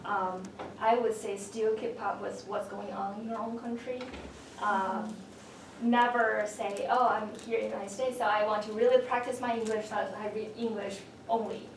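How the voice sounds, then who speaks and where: A woman with a Chinese accent gives earnest advice on a university panel, her delivery level and unvaried. She sounds far from the mic. The room echoes, and noise is clearly audible under her voice. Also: medium pitch, fairly even intonation, moderate pace. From China. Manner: earnest, with frequent "um"s.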